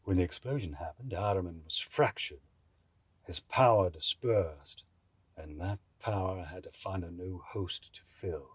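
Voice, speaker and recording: A man playing a grave, secretive schemer in an audio drama, speaking in a measured, cryptic, formal way. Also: low-pitched, breathy edge, no echo. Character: grave, secretive male schemer, an escaped captive bargaining.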